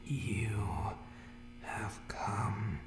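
A man's voice: on the low side, fairly even intonation, extremely slow, echoey room, music in the background. A man voicing a monstrous, obsessive barber creature in whispered, broken, repetitive speech for a horror ASMR roleplay. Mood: eerie, curious. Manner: broken, clipped speech with repeated words. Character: monstrous male barber creature from dark places, obsessed with cutting.

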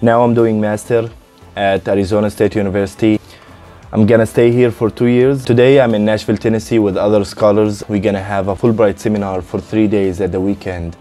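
Young man, vlogger, low mellow voice, earnest, hotel room, lapel mic. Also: little pitch movement, fairly quick, faint music behind. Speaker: vlogger, Fulbright student. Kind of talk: casual vlog talk to camera.

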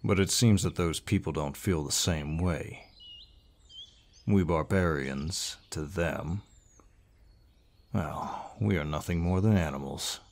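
An actor playing a large, gruff but kindly barbarian warrior in an audio roleplay, measured and reassuring, with a deep voice. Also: male voice, a little mellow, full character voice. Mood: grave, weary. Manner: measured, reassuring, with pauses and sighs. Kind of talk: scripted audio roleplay, addressing the listener. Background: birdsong forest sound effects.

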